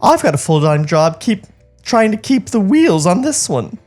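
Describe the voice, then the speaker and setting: A man puts on a bitter housewife from a 1930s screenplay, pitched high and swooping with exasperation. She nags and complains with long-suffering sarcasm. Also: big pitch swings, moderate pace, a little mellow, faint music behind. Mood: scornful, exasperated. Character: bitter, nagging 1930s housewife fed up with her husband.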